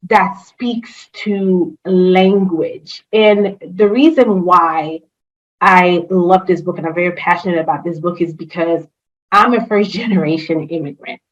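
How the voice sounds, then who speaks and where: A woman's voice: on the low side, fairly even intonation, measured pace, lo-fi sound. A woman whose first language is French, chatting as a guest in an interview, enthusiastic, with many fillers and restarts. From Haitian family, grew up speaking French and Creole. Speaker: guest, HR consultant. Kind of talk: casual interview chat.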